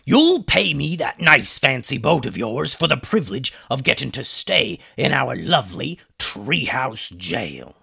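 Performer doing villainous male pirate captain, jeering, gloating, very animated, kids' storybook. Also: mid-range voice, measured pace, gravelly, hardly any pauses, slightly rough, no echo, clean studio sound, pitched above the performer's own voice, full character voice. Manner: mocking, jeering.

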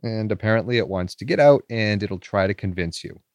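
Man playing a casual skeptical internet guy, lowish voice. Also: fairly quick, no room sound, studio quality, close to an everyday voice. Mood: casual, curious. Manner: casual, dismissive. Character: skeptical male internet forum poster. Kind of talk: horror audio drama monologue.

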